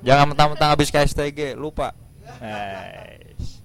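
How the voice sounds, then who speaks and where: A man's voice: mid-range voice, measured pace, vocal fry. A man hosting a casual radio interview, speaking colloquial Indonesian with a Javanese accent from East Java and coaxing his guests to talk. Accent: Javanese-influenced Indonesian, East Java. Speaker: radio show host.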